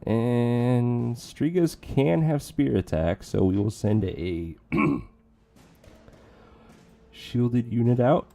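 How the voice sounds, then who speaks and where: A man casually commentating a strategy game with joking asides, his voice going hoarse. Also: medium pitch, drawn-out words, long pauses, warm tone. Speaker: let's play commentator.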